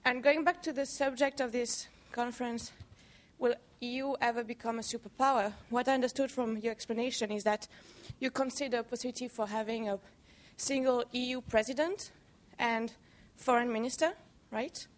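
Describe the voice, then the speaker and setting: A woman with a Belgian accent puts a question to the panel from the audience. Her voice is somewhat high, and she speaks carefully, at times reaching for the right word. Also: fairly even intonation, moderate pace. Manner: careful, searching for words. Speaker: audience member asking a question.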